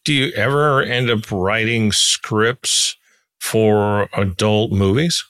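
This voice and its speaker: A man's voice: on the low side, takes it slowly, fairly bright tone, smooth, no room sound. A man interviewing a guest conversationally as a podcast host.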